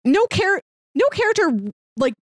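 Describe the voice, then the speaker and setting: A woman co-hosting a podcast, casually discussing a TV series in an animated, opinionated way. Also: very high voice, normal speed, no room sound, clean studio sound. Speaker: podcast co-host.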